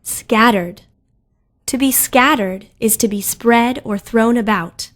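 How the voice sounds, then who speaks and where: A woman's voice: a little high, takes it slowly. A woman reading words, definitions and example sentences from a script as a lesson narrator.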